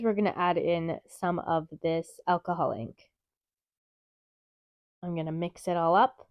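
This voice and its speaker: A woman with a stuffy, nasal voice from a cold, calmly explaining steps in a craft tutorial. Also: medium pitch, no echo, muffled recording. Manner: calm, methodical, chatty explaining. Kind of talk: step-by-step craft tutorial narration.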